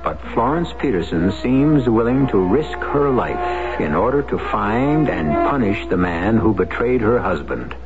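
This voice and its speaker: A man hosting a radio mystery show, giving a measured, solemn scripted narration. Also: fairly low pitch, sing-song. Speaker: show host. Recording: dull lo-fi radio broadcast with music.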